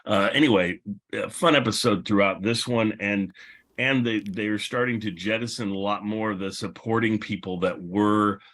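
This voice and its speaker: A man with a fairly low voice moderates a relaxed panel discussion. He talks conversationally and sounds keen and appreciative of the material. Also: moderate pace. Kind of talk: casual panel discussion about old radio.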